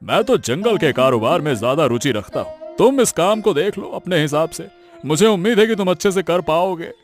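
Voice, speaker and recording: A man's voice: a little high, lively intonation, moderate pace, close to an everyday voice. A man voicing a smug, bullying village businessman in a cartoon moral story, self-important and condescending. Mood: dismissive. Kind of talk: animated moral story dialogue in Hindi. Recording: music behind the voice.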